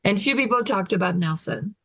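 French-accented woman with a gravelly voice. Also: medium pitch, lively intonation, a bit bright, dry recording. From France. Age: late 30s. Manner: hesitant, thoughtful, with many pauses and restarts. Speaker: interview guest. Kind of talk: casual interview conversation.

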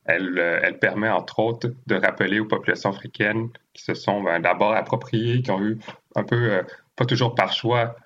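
A man from Haiti hosting a conversational interview, speaking in a halting way with frequent pauses. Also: fairly low pitch, a bit bright, highs cut off. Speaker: podcast interviewer and host. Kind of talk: conversational interview with long spoken introductions.

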